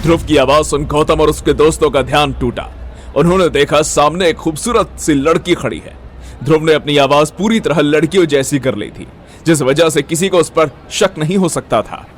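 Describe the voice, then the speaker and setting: A man's voice: on the high side, normal speed, slight background noise. A man narrating a scripted audio story.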